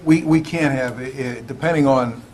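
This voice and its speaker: Man, local official, medium pitch, plain public explanation, halting delivery with repeats, clear room noise. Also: a little mellow. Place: indoor public council meeting.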